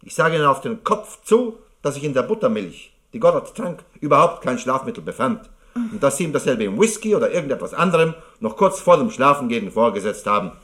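Police inspector, 1960s crime radio drama: stern, declamatory, accusing, animated intonation. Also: male voice, mid-range voice, a little fast. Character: stern male police inspector confronting a murderess. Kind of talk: 1960s German crime radio play acting.

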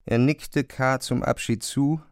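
A man's voice: medium pitch, fairly even intonation, slow, no echo, studio quality. A man narrating a literary radio drama as a scripted storyteller.

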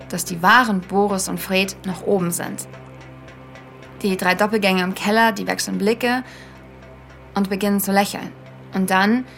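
An actress playing an earnest young podcaster, speaking in a casual, slightly hesitant storytelling style. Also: female voice, mid-range voice, a bit bright, natural voice. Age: young adult. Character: earnest young female podcaster haunted by a childhood memory. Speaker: podcast host and narrator. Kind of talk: conversational first-person audio drama storytelling.

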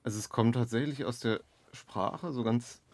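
A man's voice: medium pitch, moderate pace, no echo. A man being interviewed as an author, talking reflectively in hesitant, searching explanations full of qualifiers.

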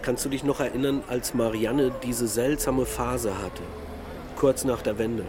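A man's voice: medium pitch, fairly even intonation, unhurried, lightly acted. An actor playing a melancholic middle-aged husband and father in a naturalistic radio drama, musing reflectively in short sentences. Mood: musing, unsettled. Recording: loud urban traffic ambience.

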